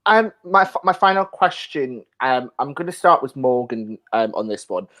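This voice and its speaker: A man's voice: medium pitch, expressive, normal speed, pushed and bright. A man hosting a casual panel interview, putting questions in a hesitant, stop-start way. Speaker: host.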